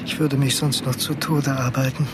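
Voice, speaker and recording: An actor playing a weary, self-deprecating office worker in his fifties, speaking with resigned pauses in a radio drama. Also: mid-range voice, flat delivery, background noise, natural voice. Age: 50s. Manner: deliberate, pausing, resigned.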